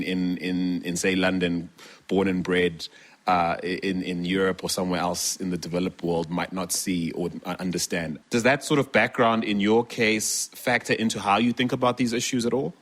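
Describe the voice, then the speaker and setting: Low-voiced man interviewing. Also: steady tone, moderate pace, fairly bright tone. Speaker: interviewer, podcast host. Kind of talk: conversational interviewing.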